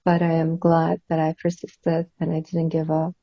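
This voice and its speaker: A woman's voice: low voice, completely flat delivery, normal speed, no room sound, dull audio. Earnest woman author, from Iran. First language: Kurdish. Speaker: author guest. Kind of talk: interview answers.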